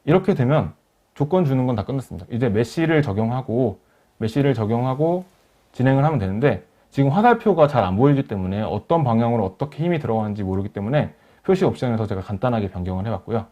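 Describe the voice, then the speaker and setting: A man narrating a software tutorial as a webinar instructor, explaining each step in a polite, formal way. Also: mid-range voice, animated, fast talker. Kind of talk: software tutorial narration over screen demo. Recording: dull lo-fi voice recording.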